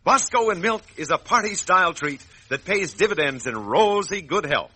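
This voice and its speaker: A man's voice: high voice, lively intonation, moderate pace, sharp tone, husky. A man announcing and reading sponsor copy for children in 1940s radio style. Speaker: announcer and pitchman.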